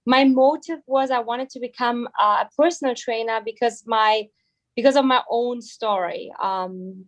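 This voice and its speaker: A woman with a German accent talks in a chatty, lively way about her fitness work. Her voice sits fairly high. She fills her talk with "like" and "you know.". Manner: chatty, lots of "like" fillers. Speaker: EMS trainer, guest. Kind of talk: casual webinar talk.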